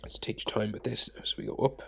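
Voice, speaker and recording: A man with a head cold, narrating a step-by-step drawing tutorial, muttering quietly to himself and drifting into whispers. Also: quick pace. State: congested with a cold. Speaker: art tutor.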